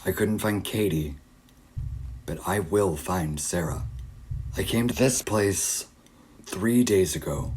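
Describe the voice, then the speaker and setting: A haunted middle-aged father played by a man in an audio play, with a low voice and slow delivery. He is obsessive and distraught, halting and circling back over his words. There is clear noise behind him. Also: poor audio. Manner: haunted, halting, repeating himself. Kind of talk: audio drama monologue and dialogue.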